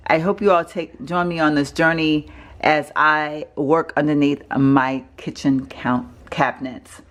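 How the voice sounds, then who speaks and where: Raspy low-voiced woman rambling in a kitchen. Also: fairly even intonation, unhurried. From Texas. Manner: rambling, chatty, many fillers and self-corrections. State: raspy from allergies. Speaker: home organizing vlogger. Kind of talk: casual chatty home vlog. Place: home kitchen, indoors. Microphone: handheld camera mic, filming off screen.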